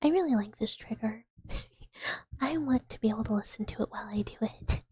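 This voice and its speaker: A woman's voice: on the high side, normal speed, darkish tone. A woman whispering breathily into a close microphone in a playful, rambling ASMR livestream chat. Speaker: ASMR streamer chatting with viewers.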